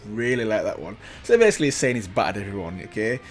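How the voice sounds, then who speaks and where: A man's voice: lowish voice, expressive, quick pace, faint music behind. A man hosting a casual video game reaction, chatting enthusiastically with plenty of "um"s. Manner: enthusiastic, rambling, lots of fillers.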